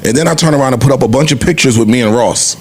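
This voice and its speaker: A man's voice: medium pitch, racing, darkish tone, husky. An American man in casual podcast chat, speaking informally and acting out other people's reactions.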